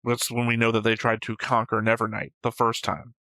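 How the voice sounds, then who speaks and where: A man hosts a casual reaction discussion in a bright, forward voice. His pitch stays fairly level and his delivery is matter-of-fact. The sound is dry, with little room on it. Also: completely flat delivery, brisk, no room sound. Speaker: reaction show host. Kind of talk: casual discussion of an animated series.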